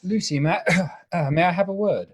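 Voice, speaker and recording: An actor playing a nervous, earnest, lovesick Victorian doctor in a radio play, halting and formal. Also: male voice, high voice. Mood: nervous, pleading. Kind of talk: radio drama acting.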